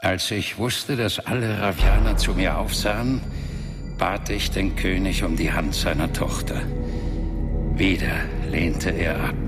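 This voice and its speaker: Very deep, gravelly demon warlord. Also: male voice. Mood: bitter, regretful. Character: old male shapeshifting demon warlord, proud, lovelorn, confessing. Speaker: actor in a radio play. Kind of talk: German fantasy radio drama, dramatic narrative monologue. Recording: music under the voice.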